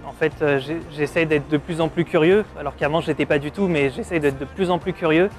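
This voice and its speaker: A man in his twenties from Paris giving a casual testimonial, reflective and pausing to search for words. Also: a little high, fairly even intonation, faint music behind. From Paris, France. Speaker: advertising art director being interviewed.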